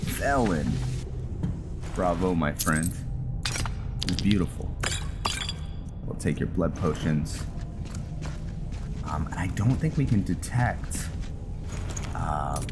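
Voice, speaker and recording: Low, unhurried man over loud music. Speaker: gamer hosting a roleplay playthrough. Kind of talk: casual game commentary, talking to himself. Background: loud game music.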